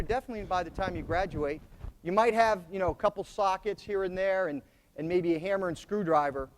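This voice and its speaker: A middle-aged American man delivers opening remarks to a roomful of students in a soft voice that sits fairly high for a man. He talks plainly and repeats key phrases to drive them home. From United States. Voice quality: soft-spoken. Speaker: commandant giving opening remarks. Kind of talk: conference speech to students.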